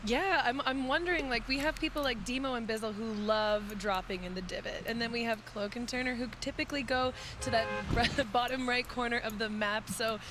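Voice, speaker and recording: A woman's voice: a little high, fairly quick, few pauses, background noise. Upbeat young woman esports caster. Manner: upbeat, friendly, with light laughs. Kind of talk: tournament commentary.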